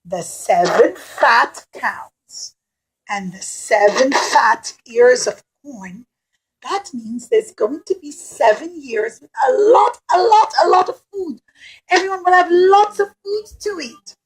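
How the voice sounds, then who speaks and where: A woman's voice: sits high, takes it slowly, rough recording. A woman voicing an earnest young male adviser explaining a prophecy, emphatic and repetitive, stretching key words for children. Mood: earnest, urgent.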